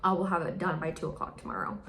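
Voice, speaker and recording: A woman's voice: animated, racing, a bit bright. A young American woman chatting casually in a vlog, full of fillers and playful quoted voices. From United States. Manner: chatty, with many fillers, mimics others in high voices. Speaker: vlogger. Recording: echoey room, faint noise.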